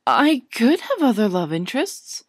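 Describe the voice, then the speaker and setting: A woman's voice: on the high side, very animated, normal speed, dry recording, clean studio sound, pitched above the performer's own voice. A woman reading an audiobook story and voicing an indignant, stubborn young witch in accusatory, incredulous outbursts.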